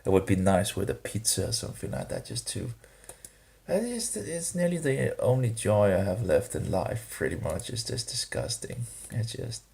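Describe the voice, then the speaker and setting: Slow, gravelly low-voiced man vlogging. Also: a little mellow. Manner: hesitant, halting, many restarts and fillers; grumbling, disapproving asides. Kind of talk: rambling casual vlog monologue.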